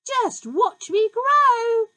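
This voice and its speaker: Screechy, wild storybook granny, very high and shrieking. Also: female voice, expressive, a little slow, full character voice. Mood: gleeful shouting. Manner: loud shrieking, gleeful. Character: screechy ancient scrawny grandmother, wild and demanding.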